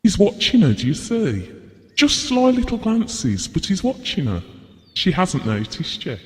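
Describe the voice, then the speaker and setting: An actor playing a gentle, shy, middle-aged widowed father in an audio play, wistful and evasive. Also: sits high, wildly swooping pitch, unhurried, full character voice. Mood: quietly curious. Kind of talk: short audio play dialogue. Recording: long hall-like reverb.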